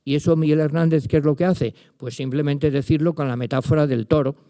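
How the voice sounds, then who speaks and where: A man's voice: on the high side, fairly even intonation, brisk, mellow. An older man with a Spanish accent, a literature professor giving a lecture to an audience, speaking directly to his listeners and reciting verse with emphasis. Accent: Spanish from Spain. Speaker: literature professor presenting a book.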